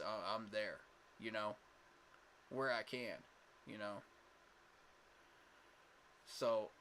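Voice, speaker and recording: A man's voice: on the low side, fairly bright tone, slight background noise. Emphatic man chatting on a fan stream. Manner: emphatic, fillers, repeats words. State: tired from little sleep. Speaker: fan channel host. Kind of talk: casual livestream commentary.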